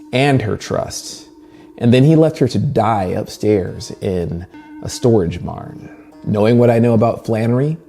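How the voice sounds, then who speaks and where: A man hosting a literature channel, narrating a scripted video essay with dramatic pauses and emphasis. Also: medium pitch, vocal fry, warm tone. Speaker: host of a horror literature channel. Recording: music bed behind the voice. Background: background music.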